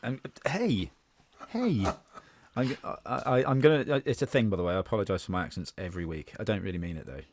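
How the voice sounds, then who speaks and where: A man's voice: on the low side, animated, fast, a little hiss or hum. A man, an actor, chatting casually and jokily with colleagues. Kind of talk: casual joking chat.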